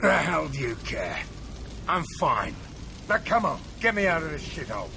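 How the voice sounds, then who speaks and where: A man playing a bitter, gruff older mercenary with a gravelly voice in a video game cutscene. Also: fairly high pitch, very animated, moderate pace, background noise. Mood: angry, strained. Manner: gruff, profane. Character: bitter, injured, vengeful older male mercenary.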